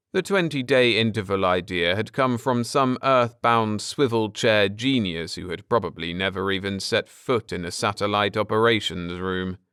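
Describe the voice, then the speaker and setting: Male audiobook narrator, lower register, very clean resonant delivery, measured and dramatic sci-fi storytelling. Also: a bit bright, clean tone, dry recording, studio quality. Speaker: audiobook narrator and channel host. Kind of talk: scripted dramatic narration of a science fiction story.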